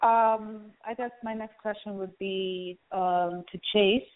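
A woman's voice: mid-range voice, steady tone, slow pace. A woman hosting a casual, conversational interview, heard over a tinny phone-style line. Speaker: interviewer, show host.

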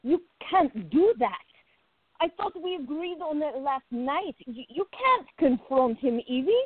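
High-voiced, unhurried young woman, acted by a woman. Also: lively intonation, no echo, narrow, tinny audio. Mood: upset, protesting. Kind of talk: radio play acting.

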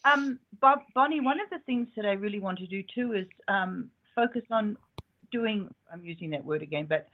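A woman's voice: mid-range voice, moderate pace. A woman calling into a show, chatting eagerly in long run-on bursts. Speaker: caller. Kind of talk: casual chat. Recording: tinny phone line.

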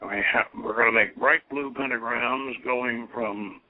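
Slow, halting man on a tinny line. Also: mid-range voice, steady tone, no echo. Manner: halting, deliberate. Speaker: host leading a ritual. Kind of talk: informal ritual instruction mixed with solemn chanted invocations. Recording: narrow tinny phone-like radio sound.

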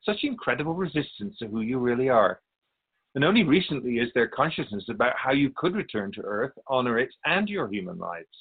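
A man playing a wise otherworldly keeper of souls in a radio drama, speaking in a measured, philosophical, instructive way. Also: medium pitch, very animated, slightly rough, narrow, tinny audio. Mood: calm, instructive. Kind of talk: radio drama dialogue in interview form.

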